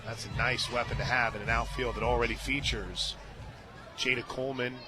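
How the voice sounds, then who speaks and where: Male radio softball play-by-play announcer. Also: mid-range voice, fairly even intonation, fairly quick, music in the background, a crowd in the background. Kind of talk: live softball commentary mixed with casual chat. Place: stadium radio booth.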